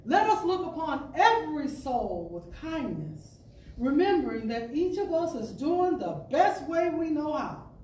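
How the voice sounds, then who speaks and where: An echoey, slightly distant recording of a woman giving a spiritual reading. Her voice sits fairly high and she takes her time, shaping each phrase with emphasis and dramatic pauses in the manner of a storyteller. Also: sing-song, dark tone. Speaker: storyteller, inspirational speaker. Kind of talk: spiritual talk read aloud as dramatic storytelling. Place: indoor room at a spiritual center. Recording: echoey room, distant mic, faint noise.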